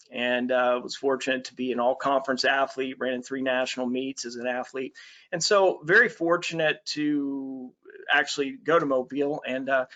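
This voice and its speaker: Bright-voiced middle-aged man from Alabama. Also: mid-range voice, muffled recording. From Alabama, USA. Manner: friendly, many fillers. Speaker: basketball coach presenting a webinar. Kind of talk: online presentation.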